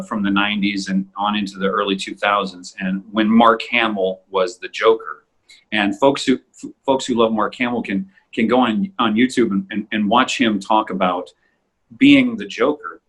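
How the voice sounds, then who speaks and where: A man in a casual, halting discussion, speaking appreciatively as co-creator of an audio drama podcast. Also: fairly low pitch, fairly even intonation, a bit bright, husky, poor audio. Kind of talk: casual discussion of their own work.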